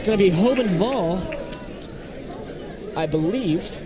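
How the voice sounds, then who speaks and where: Male sports announcer, high register, terse running play calls, heavy arena noise. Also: lively intonation, slow pace. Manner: clipped, fragmentary play calls. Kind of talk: live basketball radio commentary. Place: indoor basketball gym, up behind a balcony. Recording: loud gym crowd and court noise. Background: crowd, bouncing basketballs.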